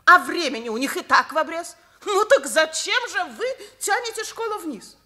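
A shrill, indignant older schoolteacher. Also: female voice, sing-song, normal speed, sharp tone, full character voice. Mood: stern, reproachful. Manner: emphatic, lecturing, didactic. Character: rigid, indignant older schoolteacher woman, principled and dogmatic. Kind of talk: Soviet radio theatre acting.

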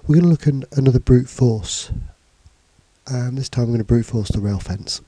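Male tutor, stuffy from a cold, very dark and husky tone, relaxed and halting delivery, dry close sound. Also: medium pitch, no room sound. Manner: casual, hesitant with fillers and restarts. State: has a cold, bunged up. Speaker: computing teacher. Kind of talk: screencast tutorial. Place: indoors, voice-over on slides and screen recording.

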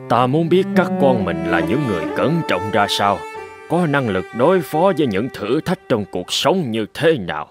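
A stern old martial arts master in a cartoon folktale, acted by a man. He speaks with deliberate authority at a medium pitch, with very mobile intonation. Music plays clearly behind him. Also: big pitch swings, close to an everyday voice. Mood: reflective. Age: older. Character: stern, prudent old sword master and father. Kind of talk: animated folktale dubbing.

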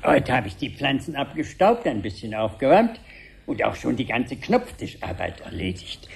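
A man's voice: mid-range voice, very animated, moderate pace, vocal fry. A man playing a fussy, proud, elderly caretaker with a homely, self-important manner in a 1970s German radio play. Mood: proud, cosy. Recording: hissy 1970s radio drama recording.

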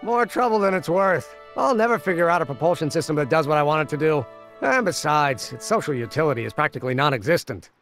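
An actor playing a self-assured, nerdy young inventor in a video game, breezy and wordy in an old-fashioned way. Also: male voice, a little high, animated, fast, a bit bright, faint music behind. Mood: dismissive. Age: young adult.

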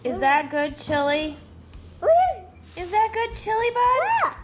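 High-pitched American mom vlogger, slow-paced. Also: female voice, big pitch swings. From United States. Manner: cheerful, gushing exclamations. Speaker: family vlogger and mother. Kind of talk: casual family vlog chat. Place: handheld family camera in car, house and yard. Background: background music.

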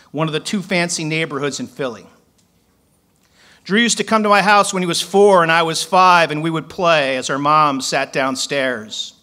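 A man's voice: a little high, sharp tone. A man in his forties from Philadelphia, a poet reading his work aloud from paper at a stand mic on a small club stage, then telling a story.

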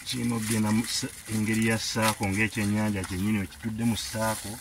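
Ugandan man, middle-aged, low voice, casual cooking chat outdoors, noisy rural background. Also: flat delivery, quick pace. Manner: mixes Luganda and English, talks to viewers while working. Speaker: homestead cook and channel host. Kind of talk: casual cooking vlog commentary. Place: outdoors at a rural homestead cooking over a wood fire. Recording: outdoor recording with clear background noise. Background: rural outdoor ambience.

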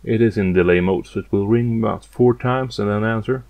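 Low-voiced man giving a demonstration. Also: a little fast, a little mellow. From outside the United States. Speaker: hobbyist demonstrating a vintage gadget. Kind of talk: step-by-step demonstration narration.

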